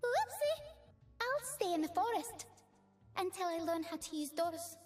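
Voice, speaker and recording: A whimsical little female fawn spirit from a fantasy game, speaking extremely high and sweetly. She is timid and dreamy, taking her words slowly and gently over soft music. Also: sing-song, heavily acted. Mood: tender, shy. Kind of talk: video game character voicelines.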